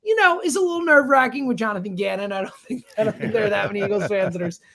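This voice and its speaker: A man's voice: sits high, very fast, creaky, pushed and bright. A fired-up man, a sports radio guest, giving emphatic, conversational commentary.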